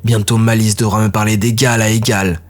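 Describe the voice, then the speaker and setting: A man voicing a ruthless male dark elf warlord who speaks in a cold, measured way. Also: lowish voice, level tone, close to an everyday voice. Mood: defiant.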